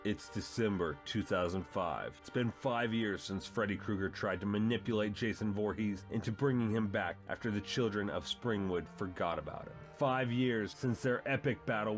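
A man with a fairly low voice narrates a comic book story in a dramatic style, as the host of the reading. Music plays clearly behind him.

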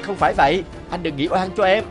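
Man playing a meek, high-pitched little cartoon crow. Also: fast, music in the background, lightly acted. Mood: humble. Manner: pleading, humble, deferential. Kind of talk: animated fable voice acting.

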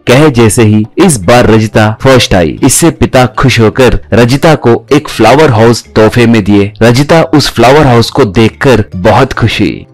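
A man's voice: medium pitch, measured pace, few pauses, no echo, soft background music. A man narrating a scripted story.

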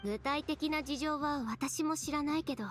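A woman playing a proud, composed young fashion designer in an anime game, speaking in a cool, measured, matter-of-fact way. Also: high-pitched, soft background music, close to an everyday voice. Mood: cool, resolute.

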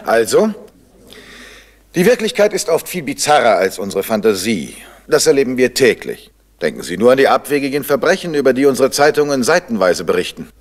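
An actor playing a suave middle-aged crime novelist with urbane, dry irony in a German radio drama from 1970. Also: male voice, medium pitch, sing-song, fairly quick. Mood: assured, oratorical.

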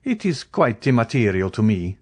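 A man's voice: on the low side, big pitch swings, moderate pace, darkish tone, slightly rough. A man voicing a proud, mocking, coldly menacing aristocratic count in an audiobook, in a haughty, formal, declamatory manner. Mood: coldly confident.

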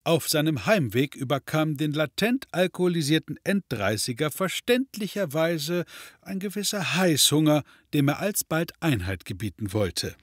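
Wry narrator, dry studio sound. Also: male voice, fairly high pitch, lively intonation, no echo. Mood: dry irony. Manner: dry, ironic, measured. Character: wry omniscient male storyteller. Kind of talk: scripted comedic narration for audio drama.

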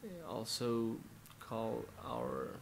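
A young man in his twenties from Colombia, Spanish-speaking by birth, with a Colombian Spanish accent, introducing worship songs at home in a soft-spoken, halting way. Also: medium pitch, creaky, a little hiss or hum. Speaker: worship musician. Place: living room at home, indoors. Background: faint acoustic guitar.

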